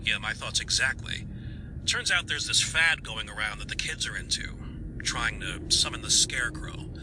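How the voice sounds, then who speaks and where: A man's voice: on the low side, lively intonation, moderate pace, background noise. A man playing a weary, plain-talking police commissioner in an audio drama, informal and somewhat exasperated in manner. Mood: confiding. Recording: loud music under the voice.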